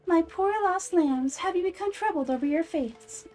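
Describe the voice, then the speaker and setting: Lady doing a mystic fortune teller from a game, super theatrical and pompous, high mellow voice. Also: faint music behind. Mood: grand, mystical. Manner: grandiose, drawn-out, oracular.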